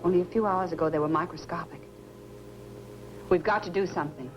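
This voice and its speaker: An actress playing a composed, serious woman scientist with a measured delivery, in 1950s science fiction film style. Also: lowish voice, music in the background, natural voice. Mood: grave. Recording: dull, hissy 1950s film soundtrack.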